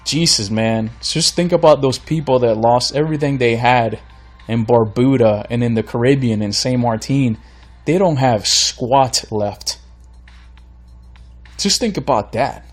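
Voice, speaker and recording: A man's voice: mid-range voice, a little slow, faint music behind. A man from Florida hosting his own podcast, ranting bluntly and with exasperation while he is losing his voice. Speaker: podcast host. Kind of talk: solo podcast rant.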